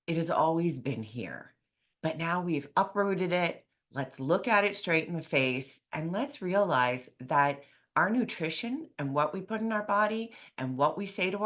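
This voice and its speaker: Low-voiced excited woman podcast host. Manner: excited, chatty, many fillers. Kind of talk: enthusiastic podcast intro and interview.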